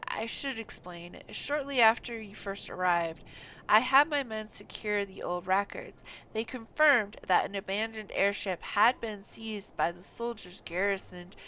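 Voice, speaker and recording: A woman voicing a formal, grave lord from a fantasy game, reading his dialogue in a measured, stately way. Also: mid-range voice, bright, forceful tone, poor audio. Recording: faint background noise.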